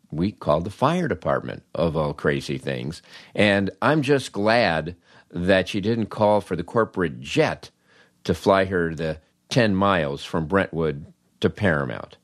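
An older American man with a low-pitched voice tells anecdotes as a podcast host. His delivery is conversational and wry, with an animated storyteller's touch. The sound is dry, with very little room in it. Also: a little slow, no echo. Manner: wry, animated, acts out dialogue in his stories.

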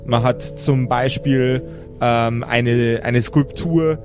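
Halting male game master over music. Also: medium pitch, steady tone. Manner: halting, searching for words, self-correcting. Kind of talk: improvised tabletop game narration.

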